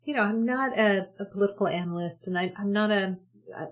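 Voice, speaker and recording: A woman's voice: mid-range voice, fairly quick, dull audio. A woman guest in an interview, talking earnestly and hopefully about communication in a chatty way with many restarts. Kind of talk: conversational interview.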